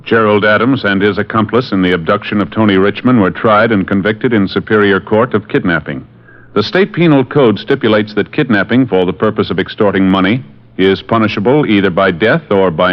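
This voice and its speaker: A man's voice: on the low side, fairly quick. An American man giving formal, scripted announcements in 1950s radio style. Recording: dull vintage radio recording, faint music.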